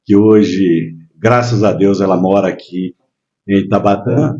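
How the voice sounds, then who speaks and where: A man in his sixties from Minas Gerais, a guest telling his life story in relaxed, casual chat with frequent hesitations. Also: sits low, unhurried, lo-fi sound. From Minas Gerais, Brazil. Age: 60s. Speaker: guest, local businessman.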